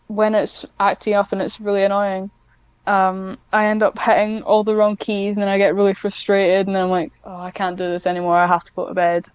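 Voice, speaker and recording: A woman's voice: medium pitch, clean tone, no echo. A woman chatting casually as a podcast guest, hesitant and self-deprecating, with a giggly manner. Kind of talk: casual interview chat.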